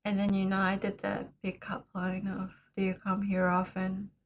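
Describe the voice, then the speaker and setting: A woman hosting a laid-back livestream chat, her voice raspy and hoarse today, often laughing between remarks. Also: medium pitch, monotone, normal speed, muffled recording, a little hiss or hum. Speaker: livestream host. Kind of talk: casual chat with viewers.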